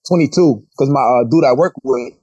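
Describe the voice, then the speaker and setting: Host dude, mellow husky voice, slangy, says the same thing twice. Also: male voice, medium pitch, fairly quick, no echo, highs cut off. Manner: loose slangy, repeats phrases. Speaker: podcast host. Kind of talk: casual hip hop chat.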